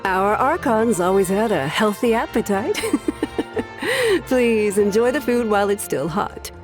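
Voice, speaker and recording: Slow-talking, gracious food server. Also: female voice, mid-range voice, sing-song, music in the background. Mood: welcoming. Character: gracious, unhurried female attendant serving food. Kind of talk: video game character acting.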